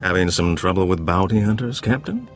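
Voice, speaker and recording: A man's voice: low-pitched, big pitch swings, a little fast, soft background music. A man playing a suave, genteel gambler and information broker with an urbane, teasing manner in a video game. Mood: amused, smooth.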